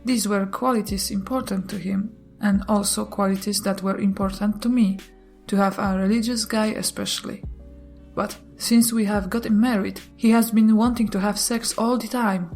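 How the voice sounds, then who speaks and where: A woman's voice: mid-range voice, moderate pace, faint music behind. Woman reading posts, non-native English. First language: not English. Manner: steady reading with brief chatty asides. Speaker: YouTuber reading Reddit posts. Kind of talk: reading posts and comments aloud.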